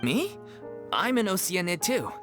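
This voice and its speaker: A man's voice: high-pitched, big pitch swings, unhurried. A man playing an easygoing, loyal young troupe actor in a video game, casual and sincere. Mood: amiable. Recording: music behind the voice.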